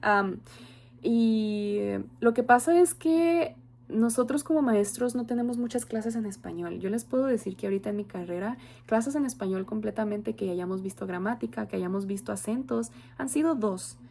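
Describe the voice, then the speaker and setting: A young Mexican woman in her twenties gives a class presentation in a mellow, warm tone. She talks earnestly and thoughtfully, pausing on soft "em" and "am" fillers as she gathers her points. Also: fairly high pitch, gravelly, slight background noise. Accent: Mexican Spanish. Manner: earnest, reflective, frequent "am" and "em" fillers. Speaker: university student.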